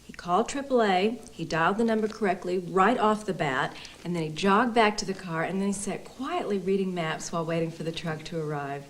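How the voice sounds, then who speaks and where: A woman's voice: lowish voice, a little fast, few pauses, lightly acted. An actress playing a polite, over-explaining wife who covers for her husband in a comedy film. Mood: eager, gushing.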